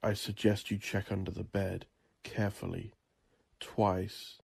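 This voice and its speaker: A man reads gothic horror in a low voice full of heavy gravel. His delivery is slow, ominous and deliberate, shaped as theatrical storytelling for dark fiction. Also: level tone. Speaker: narrator of a horror audiobook drama. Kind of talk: dramatic gothic horror narration.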